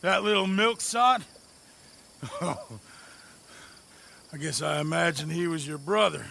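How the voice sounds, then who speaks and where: An actor playing a cruel, defiant Old West outlaw in a video game, with a mocking, taunting manner. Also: male voice, on the high side, slow, gravelly, pushed and bright, a little hiss or hum, exaggerated voice. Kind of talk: dramatic video game acting.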